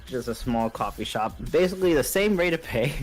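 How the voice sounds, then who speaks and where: A man's voice: mid-range voice, expressive, brisk. A man chatting casually as an artist co-hosting a game development stream. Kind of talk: casual chat. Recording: music under the voice. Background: background music.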